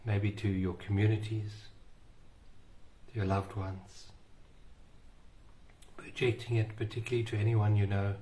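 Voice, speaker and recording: A man guiding a meditation in a slow, soothing way with long pauses. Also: fairly low pitch, flat delivery, mellow, slight background noise. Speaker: meditation guide. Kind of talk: guided meditation.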